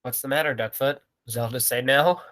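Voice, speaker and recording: A man's voice: medium pitch, moderate pace, a bit bright, close to an everyday voice. A man playing an exasperated circus manager in a 1940s-style radio play. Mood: placating.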